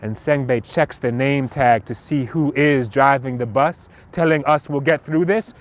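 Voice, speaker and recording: A man's voice: medium pitch, a little slow. A man reciting spoken word poetry in a rhythmic, dramatic, emphatic way. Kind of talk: performed slam poetry recital. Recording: outdoor recording with clear traffic noise.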